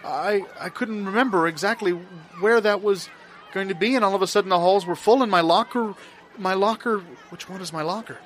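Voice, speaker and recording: A man's voice: sits high, fairly bright tone, a faint crowd. Man acting a theatrical dreamer, noisy background ambience. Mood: panicked. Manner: dramatic, halting, repeats himself. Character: anxious dreamer recounting a surreal dream, swinging from hushed to panicked. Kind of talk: improvised audio theatre monologue.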